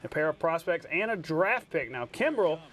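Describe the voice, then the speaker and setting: A man's voice: fairly high pitch, very animated, normal speed, fairly bright tone. A man co-hosting a sports broadcast, mixing headlines with casual banter. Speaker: sports show co-host.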